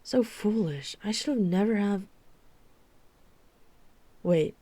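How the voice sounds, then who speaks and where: A woman playing a dry-witted female vampire hunter in an urban fantasy ASMR roleplay, speaking in a measured, deliberate storytelling manner. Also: medium pitch, warm tone, no room sound. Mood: alarmed, urgent.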